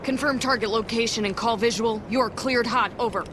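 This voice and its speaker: A woman voicing a crisp female commando. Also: on the high side, a little fast, background noise, close to an everyday voice. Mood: formal. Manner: crisp military radio talk. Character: tough female special forces soldier. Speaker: special forces soldier, radio operator. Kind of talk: video game cutscene acting. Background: game music.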